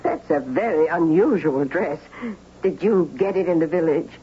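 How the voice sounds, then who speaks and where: An actress playing a sociable, gracious middle-aged aunt, an effusive hostess in a 1970s radio drama. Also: female voice, low voice, expressive, normal speed, dull audio. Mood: gracious, delighted. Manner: effusive, gushing. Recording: band-limited, faintly noisy 1970s radio recording.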